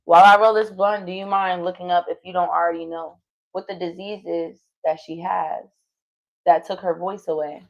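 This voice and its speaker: A woman's voice: on the low side, steady tone, moderate pace, creaky, muffled recording. Slangy, chatty woman streamer. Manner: slangy, swearing, loose and chatty. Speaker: streamer host; singer. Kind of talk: casual stream chat.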